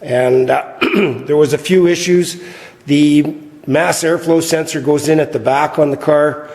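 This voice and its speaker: A slightly hoarse man casually talking through his work in a shop garage, with many fillers and some throat clearing. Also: a little high, a little slow. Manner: relaxed, rambling, many "uh" fillers. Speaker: garage builder showing his project. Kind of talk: casual workshop vlog. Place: large shop garage, indoors. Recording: reverberant garage with long echo.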